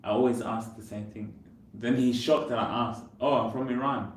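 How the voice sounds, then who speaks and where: A man's voice: mid-range voice, fairly even intonation, normal speed. A man from Kenya chatting casually on a live stream, with lots of "like". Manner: chatty, says "like" often. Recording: roomy echo, distant mic, faint noise.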